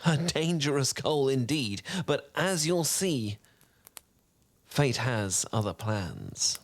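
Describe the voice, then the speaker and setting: Man voicing a charming wizard storyteller, soft-spoken, velvety, mid-pitched, eloquent, gently pompous, soothing ASMR bedtime roleplay. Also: expressive, takes it slowly. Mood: hushed, dramatic.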